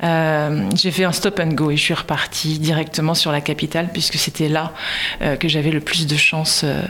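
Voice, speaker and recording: Actress guest from Nice, lowish voice, halting, chatty, laughs a lot. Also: female voice, level tone, fairly bright tone. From Nice, France. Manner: hesitant, many fillers and restarts, laughs often. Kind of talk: interview answers.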